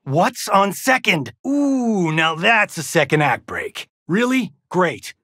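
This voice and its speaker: A man's voice: high voice, big pitch swings, slow pace, pushed and bright, no room sound, clean studio sound, exaggerated voice. An actor playing a cocky, wisecracking male Hollywood action star in a fighting video game, with a smug, flippant, showboating delivery. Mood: wry, cocky.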